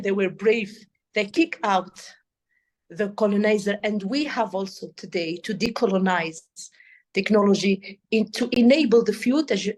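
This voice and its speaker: A woman with a Tunisian accent, a native Arabic speaker, answering interview questions as an expert in earnest, emphatic and halting non-native English. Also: medium pitch, darkish tone. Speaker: AI policy expert, podcast guest.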